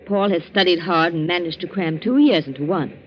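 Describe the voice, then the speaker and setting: A woman's voice: fairly low pitch, a little fast, lightly acted. An actress playing a scheming, ambitious middle-aged mother in a theatrical, intense 1940s radio drama style. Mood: sweetly coaxing. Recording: muffled vintage 1940s radio recording.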